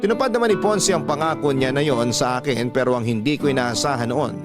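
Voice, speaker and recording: A man's voice: medium pitch, fast talker. A man with a Filipino accent narrating a scripted personal story as a radio-style host. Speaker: host reading out a listener's story. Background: music.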